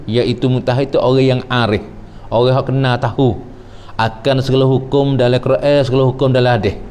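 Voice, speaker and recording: A man teaches a traditional religious text at an unhurried pace. He repeats his phrases, recites short Arabic lines and explains them in the local dialect. His voice sits at an ordinary middle pitch. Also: a little mellow, slight background noise. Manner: explains slowly, repeats phrases, quotes Arabic then glosses it. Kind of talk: traditional kitab lesson.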